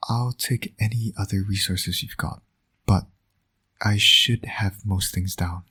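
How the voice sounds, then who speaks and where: A man playing a flirtatious villain and doting father in romantic roleplay audio, speaking in a smug, teasing, intimate way with a breathy, often near-whispered voice. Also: fairly low pitch, steady tone, measured pace, pushed and bright, heavily acted. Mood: soft, smug.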